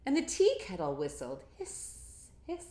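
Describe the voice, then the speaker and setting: A woman reads a children's story with slow, drawn-out, sing-song phrasing and very lively pitch. She speaks to young listeners in an encouraging, interactive way. The room adds an audible echo. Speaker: storyteller reading a picture book to children. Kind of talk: animated read-aloud with asides to young listeners.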